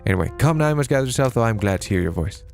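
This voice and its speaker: A man's voice: medium pitch, expressive, racing, gravelly, faint music behind. A man voicing a pale, gloomy young female maid who speaks formally and is devoted to her master. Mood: eager. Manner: formal, elated yet lifeless.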